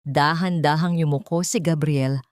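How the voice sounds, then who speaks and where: Filipina lady reading a dramatic story, lowish voice, measured, really dry close sound. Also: fairly even intonation, clean tone, no echo. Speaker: narrator. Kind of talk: scripted dramatic story narration.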